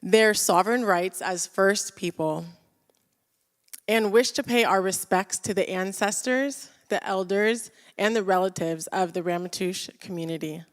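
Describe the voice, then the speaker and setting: A woman opening an event with formal, measured delivery, as if from a prepared script. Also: medium pitch. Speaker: event introducer. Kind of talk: formal read land acknowledgment and introduction. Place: large reverberant venue. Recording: long hall reverb.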